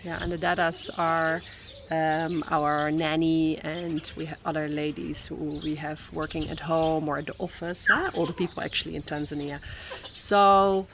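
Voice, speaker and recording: A Dutch woman in her thirties, speaking English with a Dutch accent, gently interviewing her daughter for a family vlog at a safari camp. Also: low voice, flat delivery, normal speed, noisy background. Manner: gentle, encouraging questioner with soft fillers. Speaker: vlogger and mother. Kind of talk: casual interview with her child. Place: outdoors at a tented safari camp. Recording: outdoor birdsong in background. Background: birdsong.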